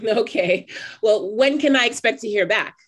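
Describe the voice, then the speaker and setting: A woman's voice: a little high, normal speed, fairly bright tone. A woman playing a guarded, direct and skeptical young job seeker in an online play reading. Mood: polite. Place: indoors on a video call. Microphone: video call microphone.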